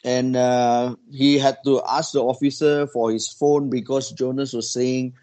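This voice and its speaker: A man recounts a story to a small group. He talks in a halting, conversational way, restarting his phrases and filling the gaps with "you know." His voice sits at an ordinary middle pitch. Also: level tone, mellow.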